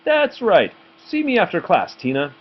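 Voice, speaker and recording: A man's voice: high-pitched, huge pitch swings, normal speed, pitched above the performer's own voice. A man voicing a strict, menacing woman schoolteacher who scolds emphatically, in a storybook reading. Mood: stern. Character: strict, menacing woman health teacher, secretly chocolate-crazed. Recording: dull home recording, faint noise.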